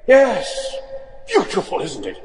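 An actor playing a grave, resolute English gentleman in a gothic horror radio play, measured, courteous and theatrical. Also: high voice, wildly swooping pitch, long reverb tail, slight background noise, exaggerated voice. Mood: genial, knowing.

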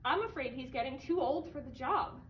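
A woman's voice: high-pitched, animated, moderate pace, far from the mic, highs cut off, a little hiss or hum. A woman voicing an exasperated, bossy groundhog aunt in a storybook, scolding and practical.

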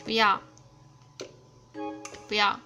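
A woman giving casual, expressive let's play commentary as host of a gaming video. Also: drawn-out words. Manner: expressive, exclaiming reactions. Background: game music.

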